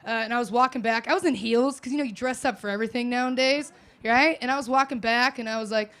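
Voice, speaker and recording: An American woman performing stand-up with crowd work, brash, loud and self-mocking. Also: on the high side, fast. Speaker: stand-up comedian.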